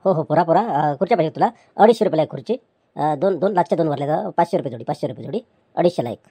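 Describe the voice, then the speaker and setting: A woman's voice: low-pitched, lively intonation, rapid-fire, dark tone, breathy edge, dry recording, heavily acted. A woman voicing a meek pleading male chair seller. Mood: placating. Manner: pleading, placating, stammering restarts.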